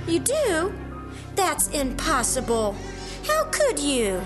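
A woman's voice: high-pitched, huge pitch swings, slow, exaggerated voice. An actress playing an innocent, curious, sweet first woman in an audiobook Bible drama, wide-eyed and questioning. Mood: doubtful, innocent. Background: music.

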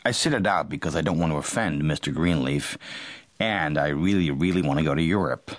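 Low-voiced, calculating young American man. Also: brisk, dry recording. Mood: dry, confiding. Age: young adult. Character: calculating, detached young American man narrating his schemes. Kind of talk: radio drama first-person narration.

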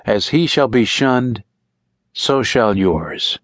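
A man voicing a vengeful, heartbroken young witch who chants her curse slowly and solemnly. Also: medium pitch, expressive, no room sound, studio quality. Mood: chanting. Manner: slow solemn incantation.

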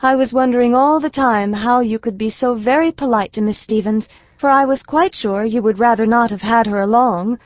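An earnest, sensitive little girl, as a woman reader puts her on, with a lifted, eager voice. She sounds pleading and quick to protest. The recording is dry and a little dull. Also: on the high side, dry recording, pitched above the performer's own voice, close to an everyday voice. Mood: indignant.

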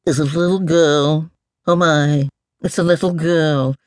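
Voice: medium pitch, steady tone, a little slow, dry recording, clean studio sound, natural voice. A storyteller of unclear sex voicing a grumpy, fussy, near-sighted giant mole that mumbles and repeats itself in a pompous, liquid mutter. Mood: muttering, curious. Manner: repetitive, indignant muttering to itself.

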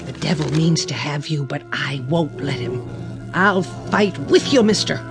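Pushy bible-thumping widow in a radio play, really animated, takes her time. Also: deep voice, unhurried. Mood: zealous, insistent. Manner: preachy, insistent, scripture-quoting. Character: fervent, pushy religious zealot widow cornering strangers. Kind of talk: radio drama acting. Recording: radio drama with music behind.

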